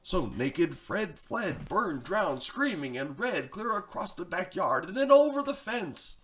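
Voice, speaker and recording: A man's voice: high voice, very animated, a little slow, a bit bright. A man reading a darkly comic rhyming tale in a theatrical, rhythmic storytelling style. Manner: dramatic, sing-song rhythmic delivery. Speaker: storyteller, reader.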